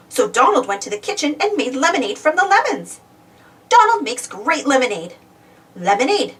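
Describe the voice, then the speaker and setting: A woman's voice: high voice, fairly bright tone, distant mic. A woman reading a children's storybook aloud, expressively and simply for young listeners. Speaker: storybook reader. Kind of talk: scripted read-aloud children's storybook narration.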